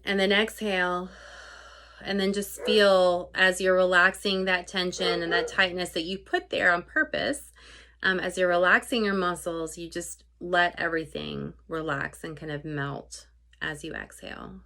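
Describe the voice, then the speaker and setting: A woman with a fairly low voice guides a meditation. She speaks off the cuff in a conversational way, pausing mid-phrase and letting the ends of her instructions trail off softly. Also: fairly even intonation. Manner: informal, conversational, halting phrasing with soft trail-offs.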